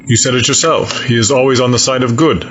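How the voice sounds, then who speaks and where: A man playing a stern, coldly logical fleet admiral in an audio drama, speaking in a measured, formal, grave way. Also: mid-range voice, fairly even intonation, a bit bright, lightly acted. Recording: dull lo-fi sound, audible noise.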